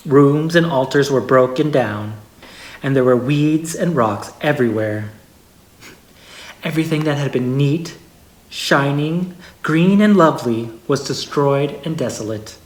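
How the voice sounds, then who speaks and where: A person reading a holiday story aloud in a measured storybook way with expressive pauses. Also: medium pitch. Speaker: story reader. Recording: echoey room.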